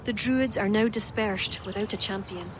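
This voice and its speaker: An actress playing an earnest former druid noblewoman who speaks in a soft, confiding, measured way. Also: mid-range voice, lightly acted. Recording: game cutscene with clear background noise.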